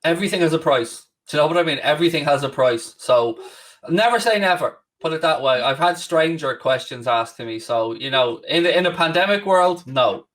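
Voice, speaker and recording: A Dublin Irish man in his late thirties talks in a loose, rambling way and pokes fun at himself. His voice sits a little high for a man and sounds somewhat distant from the mic. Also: animated, a little fast, a bit bright. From Ireland. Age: late 30s. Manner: candid, rambling, self-deprecating. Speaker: podcast host. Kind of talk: casual chat.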